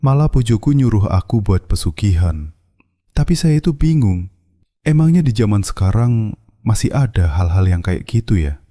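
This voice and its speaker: An actor voicing a poor, meek satay-seller husband with a Javanese accent, timid and pleading in a cartoon drama. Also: male voice, lowish voice, sing-song, moderate pace, mellow, dry recording, studio quality.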